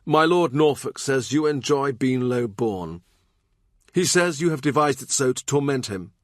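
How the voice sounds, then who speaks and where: A man's voice: medium pitch, expressive, measured pace, no room sound, clean studio sound, pitched above the performer's own voice, lightly acted. A man voicing an imperious yet gracious middle-aged English king in audiobook dialogue, with a commanding, declarative manner. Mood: magnanimous, commanding.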